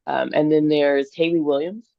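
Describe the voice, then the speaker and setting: A woman's voice: deep voice, fairly even intonation, moderate pace, vocal fry, dry recording. A woman in her twenties, a singer-songwriter guest on a podcast, talking earnestly and enthusiastically, thinking aloud. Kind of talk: casual podcast interview. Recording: dull lo-fi dry sound.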